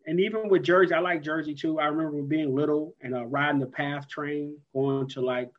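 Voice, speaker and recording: A man's voice: a little high, steady tone, a little fast. A man hosting a casual chat, talking in an easygoing, chatty way. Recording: dull lo-fi sound.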